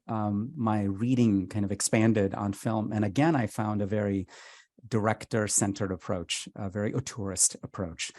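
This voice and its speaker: A man's voice: fairly low pitch, darkish tone, dry recording. A middle-aged man, a film critic answering questions thoughtfully and hesitantly over a video call. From India. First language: Tamil. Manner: thoughtful, hesitant, with frequent fillers. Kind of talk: reflective conversational answer.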